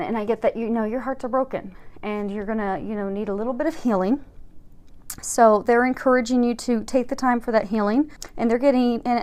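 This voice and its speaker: This is a woman with a fairly high voice, hosting a spiritual tarot reading. She talks to her viewers casually and conversationally. Her manner is reassuring and encouraging throughout. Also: darkish tone. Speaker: tarot card reader and host.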